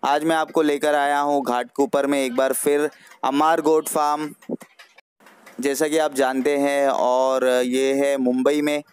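A man's voice: medium pitch, little pitch movement, unhurried, clean tone, no echo. The man you would hear narrating an online tour of animals for sale, repeating his favourite phrases with English mixed in, recorded dry. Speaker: video channel host. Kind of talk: vlog presentation of livestock for sale.

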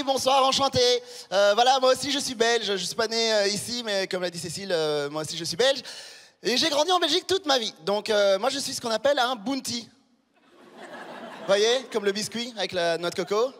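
A man's voice: high-pitched, a bit bright, in a large hall, a little hiss or hum. A man in his thirties, born in Rwanda, doing a stand-up routine with a handheld mic on a big theatre stage, punchy and chatty, teasing the crowd. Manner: punchy, conversational, teasing the audience. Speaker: stand-up comedian. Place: large theatre stage before a live audience. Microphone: handheld microphone.

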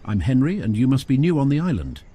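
A man voicing a gentle, friendly former hunter who now keeps bees, speaking a bit hesitantly. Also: medium pitch, very animated, fast, slightly rough, voice pushed up.

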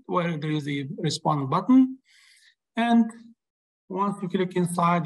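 Male webinar host, slightly high voice, slow and hesitant, speaking over a webcam. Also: rough recording. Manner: hesitant, many fillers. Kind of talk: webinar presentation. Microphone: webcam on a video call.

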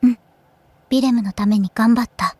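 A woman playing a quiet, deadpan little girl of few words in a Japanese anime audio drama. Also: a little high, brisk, husky, no room sound. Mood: calm, pleased. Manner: deadpan, terse.

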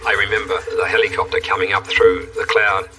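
A man's voice: sits low, level tone, fairly quick. A man who survived a plane crash, telling his story in a plain, reflective way in a documentary interview. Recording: distant mic with music.

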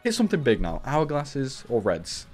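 Young man with a raspy cold, streaming slots. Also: medium pitch, wildly swooping pitch, moderate pace, soft background music. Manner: shouts and rises sharply at big wins. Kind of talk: live gambling commentary. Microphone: headset.

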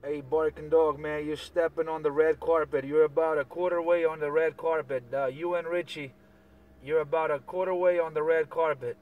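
A man's voice: fairly high pitch, fairly even intonation, moderate pace, narrow, tinny audio. A man chatting casually on CB radio, giving signal reports and often repeating himself. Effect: CB radio transmission. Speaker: CB radio operator. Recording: distant, very noisy CB radio chat.

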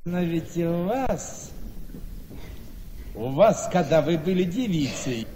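Shrewd fawning older gentleman, slow delivery, very animated, halting repetitions, radio play. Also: on the high side, in a large hall, a little hiss or hum. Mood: slyly deferential. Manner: repeats words, halting, exclamatory. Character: fussy, ingratiating, shrewd older male family relative. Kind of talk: theatrical radio play acting.

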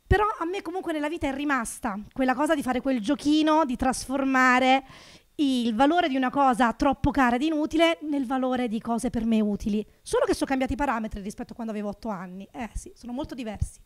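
A woman in her thirties with a high voice works a small comedy club stage, talking into a handheld microphone. Her delivery is sarcastic and animated, leaping up in pitch and volume whenever a punchline lands. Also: fast talker. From Naples. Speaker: stand-up comedian. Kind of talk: stand-up comedy routine.

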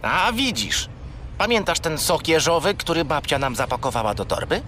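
Cheeky small furry chatterbox for children's audio drama: whiny, rambling, very animated, sharp-edged. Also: male voice, on the high side, moderate pace, a little hiss or hum. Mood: naive, rambling. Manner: rambling, naively evasive, whiny. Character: naughty, chatty, cheeky little furry toy companion. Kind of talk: children's radio play acting.

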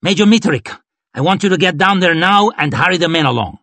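Man doing a booming, raised-pitch army commander. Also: husky, no room sound, clean studio sound. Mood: brisk command. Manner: brisk, authoritative, barking orders. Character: commanding, booming older lord marshal of an army.

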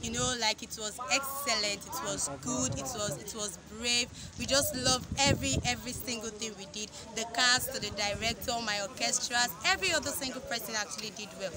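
A woman's voice: fairly high pitch, brisk, few pauses. A young woman with a Nigerian accent, a student performer, talking effusively and emphatically in an interview. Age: young adult. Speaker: student cast member being interviewed. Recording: busy outdoor crowd behind.

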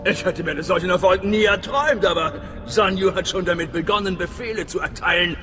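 An actor playing a talkative, self-satisfied imperial station chief in a sci-fi game, with a theatrical manner. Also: male voice, high voice, a little fast, pushed and bright. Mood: pleased, effusive. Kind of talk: dramatic sci-fi game dialogue. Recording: long reverberant hall, music behind.

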